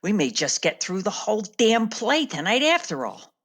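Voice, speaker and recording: An actress playing a cynical, bossy stage manager, brusque, salty and wry, in a radio play. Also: female voice, mid-range voice, sing-song, normal speed, fairly bright tone. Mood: wry, irritated.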